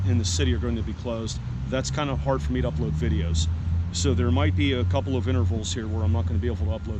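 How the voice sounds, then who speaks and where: A man talking frankly and plainly in a casual vlog, with a congested, stuffy-headed voice, recorded outdoors in a city park. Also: medium pitch. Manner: frank, plain-spoken, rambling asides. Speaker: homeless vlogger. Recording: outdoor noise in the background.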